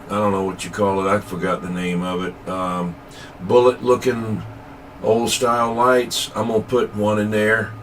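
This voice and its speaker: A man with a lowish voice talks as a CB operator, folksy and halting as he hunts for words. The transmission sounds distant, with some light noise. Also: little pitch movement. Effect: CB radio transmission. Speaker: CB radio operator. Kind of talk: casual chat over CB radio. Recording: distant, slightly noisy CB radio transmission.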